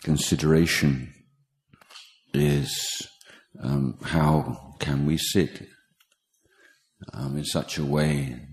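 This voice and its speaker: A man teaching guided meditation, speaking in a halting, measured way with pauses between short phrases. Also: a bass voice, steady tone. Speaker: meditation teacher, Buddhist monk. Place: meditation retreat talk in Thailand.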